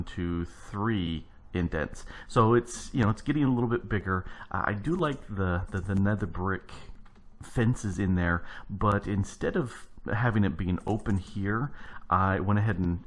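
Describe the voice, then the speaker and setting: Deep-voiced man, morning-gravelly, easygoing rambling game commentary with lots of restarts. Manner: rambling, thinking aloud while building. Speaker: let's play host. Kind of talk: casual gameplay commentary.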